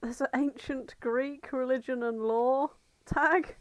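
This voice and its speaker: A woman's voice: high voice, steady tone, slow pace, no room sound. A woman co-hosting a casual comedic podcast, chatting in an irreverent, sweary, bantering way. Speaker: podcast co-host.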